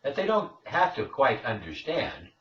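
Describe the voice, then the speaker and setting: A man's voice: medium pitch, wildly swooping pitch, unhurried, fairly bright tone. A man presenting a lecture-style commentary in an emphatic, declarative manner. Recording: echoey, distant, dull with faint noise.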